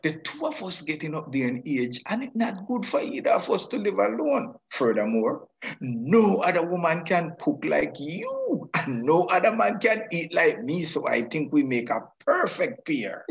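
Man acting an animated old Jamaican suitor. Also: on the high side, moderate pace, gravelly, dull audio, exaggerated voice. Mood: coaxing. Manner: animated, coaxing. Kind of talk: radio drama acting.

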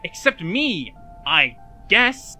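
A man's voice: high-pitched, sing-song, very slow, bright, forceful tone, exaggerated voice. A man playing an anguished, self-doubting young man in a fan audio drama, rambling and self-deprecating as he confides in a friend. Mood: wry, rambling. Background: music.

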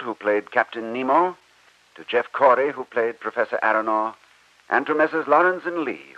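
A man hosting an old radio drama program, announcing from a script in a polished, formal, measured manner. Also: medium pitch, pushed and bright. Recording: dull old radio broadcast recording.

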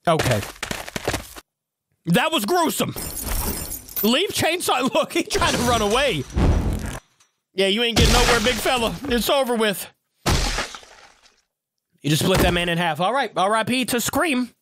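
A man's voice: extremely high pitch, expressive, unhurried, long pauses, background noise. A young man with headphones giving casual, exclamation-filled reaction commentary on a video. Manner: exclaiming, laughing, reading subtitles aloud. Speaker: YouTube reaction host. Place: indoor room. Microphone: studio mic with over-ear headphones. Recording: loud backing music under voice. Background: loud music from the reacted video.

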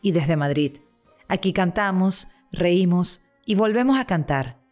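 A woman reading a scripted voice-over introduction in a measured, deliberate way. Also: lowish voice, lively intonation, darkish tone, soft background music. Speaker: voice-over narrator. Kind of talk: scripted promo narration.

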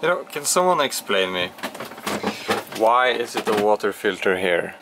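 A man's voice: on the low side, moderate pace. A young man talks casually and informally into a handheld camera, thinking aloud as he vlogs aboard a small sailboat. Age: young adult. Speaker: sailing vlogger. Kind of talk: casual vlog commentary. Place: small sailboat cockpit and cabin. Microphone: handheld camera at arm's length. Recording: noisy on-board camera audio, steady hum.

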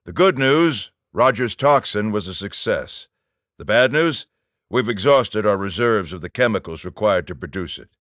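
An actor playing a stern, gruff middle-aged army general in a video game, giving orders and briefings in a commanding, clipped military manner. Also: male voice, on the low side, animated, normal speed, fairly bright tone, no room sound, studio quality.

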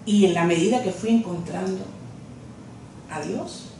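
A woman hosts an interview and responds warmly to her guest. Her voice sits a little low. She is heard from a distance in a very echoey office with faint ambience. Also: unhurried, gravelly, a little hiss or hum. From Cuba. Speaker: interviewer, host. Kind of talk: casual conversational interview. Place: office, seated at a desk. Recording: echoey room from far off.

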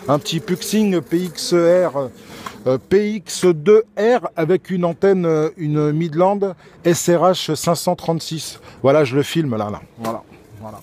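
A man's voice: a little high, unhurried, slight background noise. Joking man on a radio chat. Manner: relaxed and joking. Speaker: radio amateur filming his contact. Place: outdoors in a garden.

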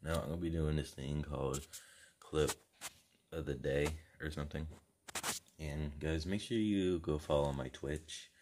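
A man's voice: rumbling low, a little slow, background noise. A young man chatting casually and slangily as a gaming video creator, recorded on a phone in a room. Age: teens to young adult. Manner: slangy, informal. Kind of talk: casual gamer chat. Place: small plain room indoors. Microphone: phone at arm's length.

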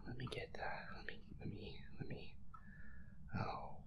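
A gamer giving hushed, breathy horror game commentary in a nervous, hesitant, profane mutter. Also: unhurried, in a whisper, dull audio. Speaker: gamer playing a ghost-hunting game.

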